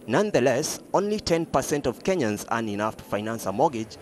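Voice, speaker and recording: A male news reporter reads a business feature in a measured, formal way. His voice sits at an ordinary, middle pitch. The read is clean and steady. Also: a little hiss or hum. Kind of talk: scripted news narration.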